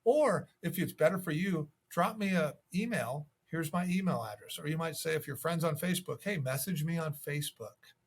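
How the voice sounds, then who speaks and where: A man's voice: fairly high pitch, normal speed, hardly any pauses, slightly rough. A man giving instructional sales tips as a coach, in a conversational manner. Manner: conversational, acts out sample calls. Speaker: real estate sales coach.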